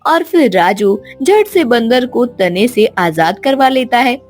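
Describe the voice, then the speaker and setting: A woman's voice: fairly high pitch, expressive, takes it slowly, soft background music. A woman narrating a scripted children's story as a storyteller.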